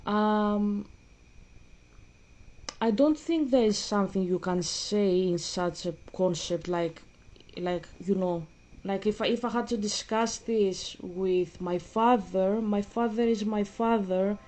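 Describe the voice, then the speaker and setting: A young woman, chatting casually and rambling with many pauses and fillers while playing a game. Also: mid-range voice, darkish tone. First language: Greek. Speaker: gaming vlogger. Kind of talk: casual gaming chat.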